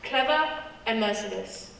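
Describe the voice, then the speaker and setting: A woman's voice: on the high side, animated, unhurried, vocal fry, a bit bright, slight background noise, full character voice. A woman playing a secretive, frightened foreign secret agent in a radio play. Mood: urgent, secretive. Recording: very echoey hall, distant mic.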